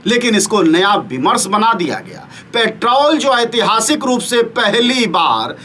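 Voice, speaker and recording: A man with a notably high, bright voice delivers a spoken opinion commentary. He stacks up pointed rhetorical questions one after another, and his tone carries a steady note of sarcasm and scorn. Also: measured pace, a little hiss or hum. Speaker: news commentator.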